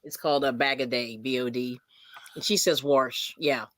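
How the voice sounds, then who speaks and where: A woman's voice: rumbling low, steady tone, normal speed, no room sound. A chatty woman from the Pittsburgh area, hosting a livestream and talking casually with frequent laughs. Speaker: livestream host.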